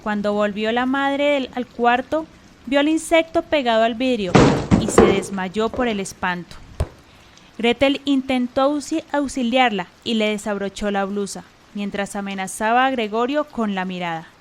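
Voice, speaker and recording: A woman's voice: fairly high pitch, moderate pace, a little hiss or hum. A woman narrator telling a scripted story for a radio drama.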